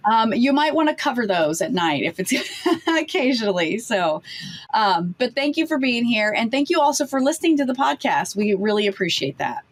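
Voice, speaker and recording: A woman's voice: on the high side, sing-song, moderate pace. A woman from the United States hosting a podcast, reading a guest introduction and then chatting in a friendly way. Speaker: podcast host. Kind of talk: interview hosting.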